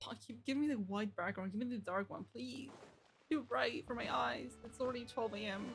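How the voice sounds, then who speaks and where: A woman's voice: a little high, fairly quick, gravelly, soft background music. A woman streaming a game, reading its text aloud and reacting casually.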